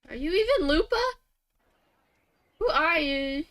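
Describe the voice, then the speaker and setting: A woman's voice: high-pitched, expressive, measured pace, a bit bright. A woman game streamer giving casual live commentary, musing and reacting aloud in short remarks.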